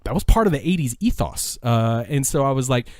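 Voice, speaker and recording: A man's voice: medium pitch, expressive, brisk, a little mellow, dry recording, clean studio sound. Middle-aged American man, earnest and emphatic. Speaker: podcast guest. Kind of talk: reflective, enthusiastic interview talk.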